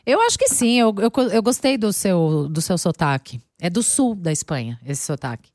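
A woman's voice: fairly low pitch, big pitch swings, normal speed, darkish tone, no echo, studio quality. A Brazilian woman co-hosting a radio show, chatting casually and teasing her co-host. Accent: Brazilian Portuguese. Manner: teasing, laughs easily.

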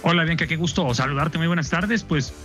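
A man from Mexico giving a friendly sports news report, pausing now and then with fillers. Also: fairly high pitch, a bit bright, soft background music.

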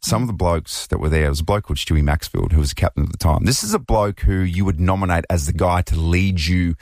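An Australian man from the Riverina co-hosting a casual sports chat, emphatic and animated, often repeating words as he gets worked up. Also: low-pitched, fast, no room sound, clean studio sound. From Riverina, New South Wales, Australia. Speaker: sports show co-host.

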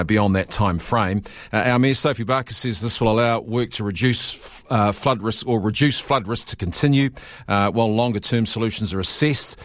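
A man giving a steady regional news and weather report on radio, with frequent fillers. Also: fairly low pitch, dry recording, studio quality.

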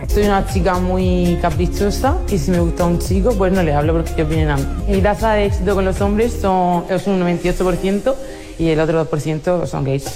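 Low, boastful woman in long reverb. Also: steady tone, fairly quick. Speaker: dating show contestant. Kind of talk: boastful self-presentation. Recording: long reverb with music underneath.

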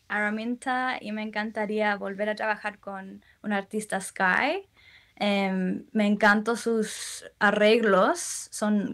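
A young woman, a musician answering interview questions in a soft, thoughtful way with frequent pauses. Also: mid-range voice. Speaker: musician guest.

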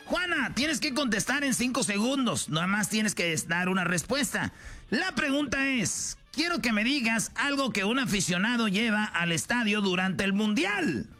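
A man's voice: high-pitched, expressive, normal speed, pushed and bright, faint music behind. A man co-hosting a radio game show with teasing, playful banter and announcements.

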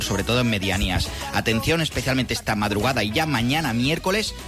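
A man with a Spain Spanish accent giving a live radio weather report in a colloquial, playful way. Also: mid-range voice. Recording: music bed under the voice. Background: music.

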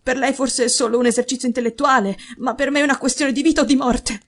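A woman's voice: high voice, fairly even intonation, quick pace, pitched above the performer's own voice. A woman reader voicing a distraught, burly husband, earnest and emphatic, in an audiobook story. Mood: distraught, imploring. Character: distraught, burly rugby-playing husband and master.

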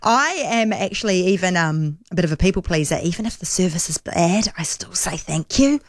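Radio host, New Zealand woman, low-ish voice, dry studio sound, easygoing and agreeable. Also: expressive, fairly quick, dry recording. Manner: chatty and agreeable, laughs easily. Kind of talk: casual radio chat with callers.

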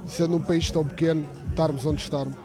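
A man's voice: on the high side, level tone, quick pace, a crowd in the background. A middle-aged man from Portugal, interviewed outdoors at a fan gathering, speaking with earnest pride. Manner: proud, earnest. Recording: street interview with music behind.

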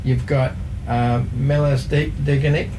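A man's voice: medium pitch, level tone, a little slow, background noise. A man sharing football trivia in a casual sports chat, hesitant, with many ums as he reads from his notes. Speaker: co-host presenting football trivia.

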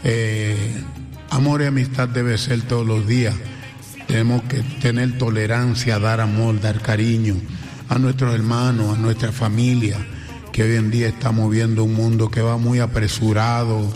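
A man's voice: mid-range voice, flat delivery, normal speed, darkish tone, faint music behind. A man with a Dominican accent speaking as a guest singer in a radio studio, earnest and grateful, dwelling on blessings. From Dominican Republic. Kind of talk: radio interview.

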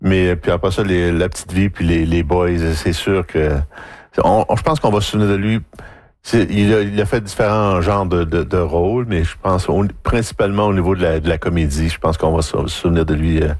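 A man on a radio panel, speaking deliberately and often repeating words as he searches for them. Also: sits low, steady tone, a little mellow, dry recording, clean studio sound.

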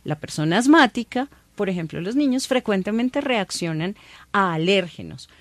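A woman doctor, calmly explaining things to a host in an interview, using everyday examples. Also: medium pitch, moderate pace, no room sound. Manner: calm, patient, explains plainly with everyday examples. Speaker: doctor, guest expert.

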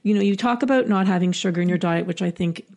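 Woman co-host, quick speech, gravelly fry, eager interviewer. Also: lowish voice, darkish tone. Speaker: podcast co-host. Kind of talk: casual interview talk.

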